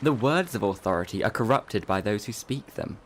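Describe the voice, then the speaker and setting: A man's voice: fairly low pitch, lively intonation, normal speed, slight background noise, lightly acted. A man acting a scholarly, scornful character with precise, lofty delivery in a literary drama. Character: scholarly, scornful man devoted to Latin and order.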